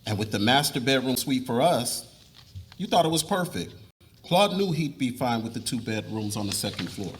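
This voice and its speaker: A man's voice: medium pitch, animated, moderate pace, natural voice. A man playing an earnest middle-aged husband and father in a live dramatic reading, with an explanatory, confessional way of talking. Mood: confessing, earnest. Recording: live reading with room noise.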